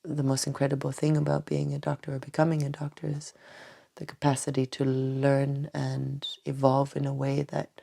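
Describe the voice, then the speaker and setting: Low, gravelly, soft-spoken woman. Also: monotone, normal speed. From Tanzania. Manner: hesitant, many restarts and soft breathy trailing phrases. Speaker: doctor and acupuncturist, podcast guest. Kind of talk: reflective interview answers. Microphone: podcast microphone.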